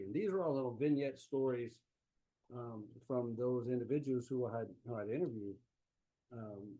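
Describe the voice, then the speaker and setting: A man's voice: medium pitch, mellow, rough recording. A man with a South Louisiana accent giving a folksy, easygoing talk as an author and historian over a laptop mic on a video call. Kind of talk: conversational remote lecture. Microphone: laptop microphone on a video call.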